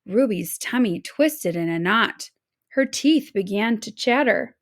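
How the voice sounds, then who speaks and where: A woman narrating a children's story aloud in a dramatic, expressive read-aloud style. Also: medium pitch, measured pace. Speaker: storybook reader.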